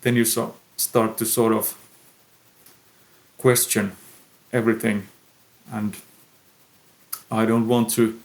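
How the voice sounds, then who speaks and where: A young man with a Finnish accent, a musician answering interview questions in a thoughtful, pausing way. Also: on the low side, flat delivery. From Finland. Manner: slow, thoughtful, pausing often. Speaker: musician guest. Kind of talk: podcast interview.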